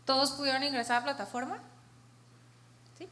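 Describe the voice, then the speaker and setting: A woman's voice: high-pitched, brisk, sharp tone. A woman presenting a training workshop who checks in with her audience as she explains.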